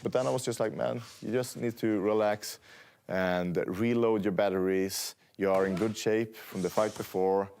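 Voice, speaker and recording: A man's voice: fairly low pitch, fairly even intonation, moderate pace, creaky, a little mellow, clean tone. A young man from Sweden, a non-native English speaker, answering interview questions in a hesitant way with many fillers. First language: Swedish. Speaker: fighter interviewee.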